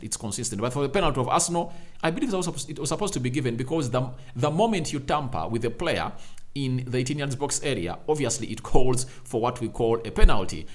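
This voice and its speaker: A man hosting a live football reaction show, reading out viewer comments and giving his views in an emphatic, repetitive way. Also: medium pitch. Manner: emphatic, repeats phrases for effect.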